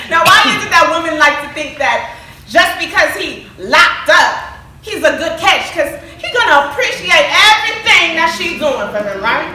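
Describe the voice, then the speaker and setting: Woman acting a sassy gossipy receptionist, stage play, swooping high voice, distant noisy hall. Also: sing-song, measured pace, bright, forceful tone, full character voice. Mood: sassy, teasing. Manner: sassy, teasing, addresses the crowd. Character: cheeky, gossipy woman receptionist at a relationship counsellor's office. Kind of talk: stage play monologue to the audience. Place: large echoey indoor stage venue. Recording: echoey hall from far off, noisy.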